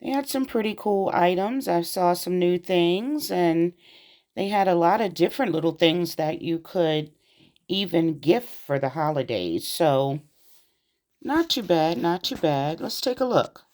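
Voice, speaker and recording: A woman with a raspy voice, chatting casually to her viewers in a shopping vlog from inside a store. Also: fairly low pitch, animated, a little slow. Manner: friendly chatty address to viewers. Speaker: vlogger. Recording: loud background music.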